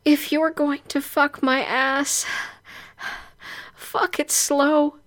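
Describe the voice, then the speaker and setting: A woman's voice: high voice, level tone, drawn-out words, a bit bright, pitched above the performer's own voice, full character voice. A woman voicing an adult comic-book superheroine in an erotic audio story, overwrought, with gasped, moaning exclamations. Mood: pained, protesting.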